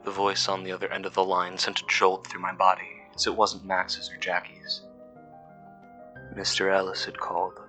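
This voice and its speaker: A man playing a weary, uneasy cave worker who narrates his audio log in a somber, measured, scripted horror-podcast style. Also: sits low, monotone, pushed and bright. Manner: somber, measured, literary storytelling. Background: instrumental music.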